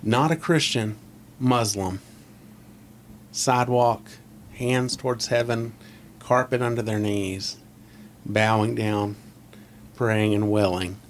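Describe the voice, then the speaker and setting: A man's voice: fairly low pitch, fairly even intonation, takes it slowly, long pauses. Scratchy-voiced man hosting a chat podcast. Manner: opinionated, rambling, asks leading questions. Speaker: podcast host. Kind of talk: casual chat. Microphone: close desk mic on a boom arm.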